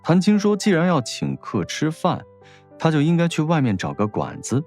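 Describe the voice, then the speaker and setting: A man's voice: fairly high pitch, huge pitch swings, moderate pace, a little mellow, soft background music. A man narrating a scripted audiobook story in a wry storytelling manner.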